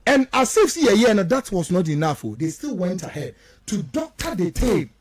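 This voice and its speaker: A man's voice: very high-pitched, dry recording. A Ghanaian man giving emphatic, repetitive political commentary as he switches between two languages, full of rhetorical turns.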